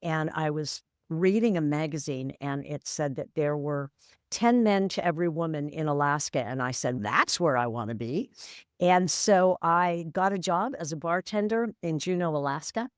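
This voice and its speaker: A woman's voice: deep voice, smooth, no room sound, clean studio sound. A woman, candidly telling her life story as a podcast guest in a matter-of-fact, wry way. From San Francisco, USA. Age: 60s. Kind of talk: reflective storytelling interview.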